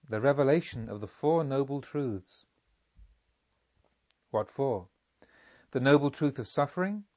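A man reading as a serene, revered spiritual master, solemnly and deliberately teaching a disciple in an audiobook. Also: medium pitch, no echo, lo-fi sound, lightly acted. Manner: solemn, emphatic, rhetorical, deliberate.

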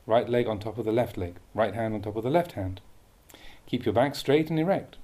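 A man reading a Buddhist meditation teaching aloud with measured, deliberate phrasing. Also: fairly low pitch, mellow. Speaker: Buddhist monk reading a translated dhamma talk.

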